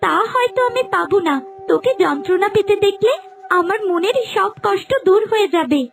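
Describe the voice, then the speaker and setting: A woman's voice: very high voice, expressive, normal speed, vocal fry, faint music behind, exaggerated voice. A woman voicing a cruel, vengeful serpent maiden who menaces and gloats in a dramatic cartoon style. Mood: gloating menace.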